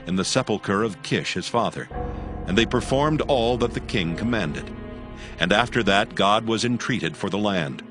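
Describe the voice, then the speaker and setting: A man with a fairly deep voice narrates scripture. His delivery is solemn and measured, and music plays clearly behind him. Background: background music.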